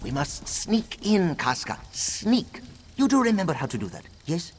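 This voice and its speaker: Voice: mid-range voice, very animated, moderate pace, background noise, natural voice. An actor voicing a bickering, dramatically indignant cat-folk sneak in a video game, with a theatrical, needling delivery. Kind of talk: video game character dialogue.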